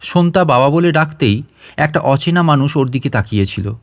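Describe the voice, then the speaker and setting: A man's voice: a little high, lively intonation, moderate pace, no room sound. Man narrating a story, close dry sound. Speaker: audiobook narrator. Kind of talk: dramatic scripted story narration.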